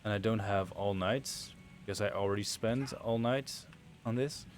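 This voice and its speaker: Low-voiced Dutch man streaming casually. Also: normal speed, a little hiss or hum. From Netherlands. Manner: relaxed, rambling, chatting to viewers while playing. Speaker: game streamer. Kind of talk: casual livestream commentary.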